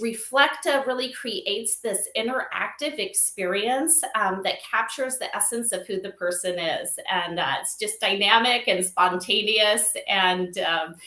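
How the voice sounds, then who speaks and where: A woman's voice: medium pitch, bright, forceful tone. A woman speaking as an interview guest, explaining her company's work in a conversational way. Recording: echoey room sound.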